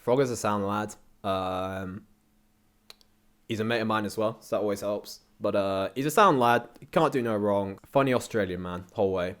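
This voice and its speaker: A man's voice: lowish voice, moderate pace, a bit bright, smooth. A young British man chatting casually as an interview guest, telling anecdotes in a slangy, rambling way. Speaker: interview guest, content creator.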